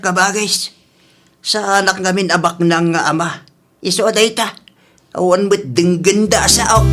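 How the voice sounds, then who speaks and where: A man playing a worried, thrifty old father in a radio drama, questioning and gently reproving with an Ilocano accent. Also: high voice. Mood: resigned. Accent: Filipino (Ilocano). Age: older.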